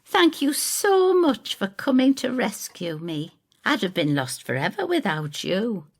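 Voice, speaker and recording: Tart, theatrical older lady, played by a female narrator, scornful and proud, high and swooping, for audio drama. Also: big pitch swings, a little slow, no room sound, studio quality, heavily acted. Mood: warm, grateful.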